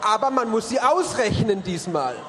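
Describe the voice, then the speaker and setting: A man's voice: high voice, animated, moderate pace, fairly bright tone. A man hosting a live stage quiz, joking and bantering with the audience. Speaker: quiz show host. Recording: live hall with crowd and music.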